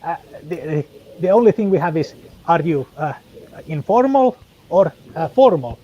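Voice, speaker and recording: A man whose first language is Finnish, a guest in casual stream chat, who speaks haltingly and searches for words. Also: fairly high pitch, sing-song, warm tone, slightly rough, dull audio. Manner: halting, full of fillers and restarts while searching for words.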